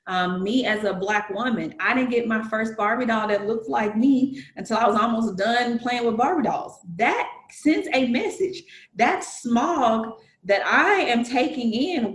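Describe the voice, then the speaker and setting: A woman's voice: a little high, fairly bright tone, reverberant. A woman with a Southern US accent in casual conversation, earnestly explaining her points with analogies and frequent fillers. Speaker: guest.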